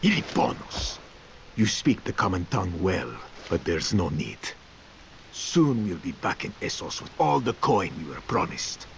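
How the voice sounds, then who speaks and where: An actor playing a confident foreign mercenary leader in a fantasy video game, measured and deliberate. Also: male voice, deep voice, very animated, vocal fry, a little hiss or hum, heavily acted. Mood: assured, friendly. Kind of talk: video game character acting. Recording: dull audio with music behind.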